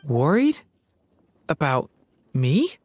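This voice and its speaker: An actor playing a gentle, earnest young dragon-boy in a video game, speaking with some hesitance. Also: medium pitch, wildly swooping pitch, drawn-out words, heavily acted. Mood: apologetic, touched. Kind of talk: video game character voice acting.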